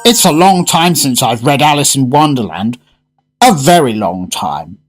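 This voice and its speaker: A man with a British accent playing an excitable, colloquial businessman in a radio drama. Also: mid-range voice, unhurried, faint music behind. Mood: animated, dismissive. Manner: animated, colloquial, grumbling. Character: brisk, excitable, blustering businessman diner, a man. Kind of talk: fan-made radio drama acting.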